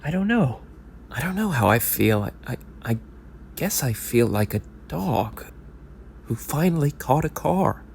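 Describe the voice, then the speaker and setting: An actor playing a hopeless, wistful lifelong sports fan in an audio drama, halting and uncertain. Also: male voice, medium pitch, big pitch swings, creaky, darkish tone, slight background noise. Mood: numb, uncertain. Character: lifelong hopeless Knicks fan, recovering drinker, wistful and defeated. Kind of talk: audio drama monologue and dialogue.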